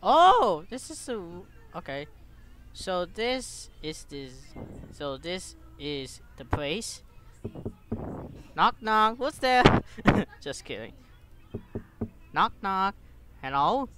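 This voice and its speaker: A gaming streamer, giving exclamatory, halting English commentary and reading game text aloud. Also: medium pitch, sing-song. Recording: clear background noise and clicks.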